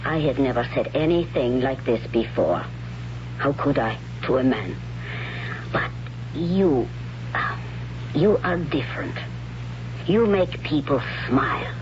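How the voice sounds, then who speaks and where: A grave, devout priestess in an old radio play. The delivery is formal and measured, heard through a muffled recording with clear background noise. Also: mid-range voice, animated. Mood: grave, tender. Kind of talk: 1970s radio drama acting.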